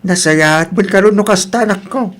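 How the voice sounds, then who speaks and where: A man's voice: sits high, fairly quick, fairly bright tone. A man playing a mild, sensible village father in a radio drama. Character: mild, sensible village father advising his grown daughter. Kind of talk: Ilocano radio drama acting.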